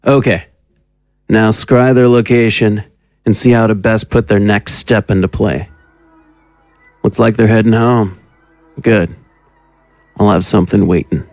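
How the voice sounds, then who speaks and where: Calculating male agent, audio drama, creaky voice, businesslike, clipped, dry sound. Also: fairly low pitch, steady tone, unhurried, long pauses, a little mellow, no room sound, soft background music. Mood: businesslike, scheming. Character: crisp, calculating male agent reporting to a superior.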